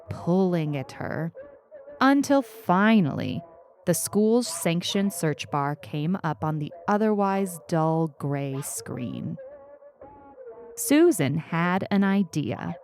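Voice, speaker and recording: Female story narrator, lowish voice, expressive and very unhurried scripted fiction read. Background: faint music.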